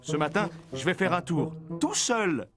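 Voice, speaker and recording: Cartoon farmer husband: fed up and scheming, drawn-out pace, hugely animated pitch. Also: male voice, music in the background. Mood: sly, insistent.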